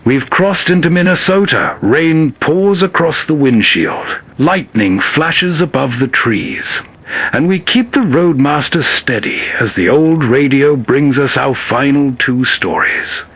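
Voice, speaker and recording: A man's voice: mid-range voice, very animated, takes it slowly, fairly bright tone, breathy edge. A man narrating as host in a scripted, atmospheric storytelling style.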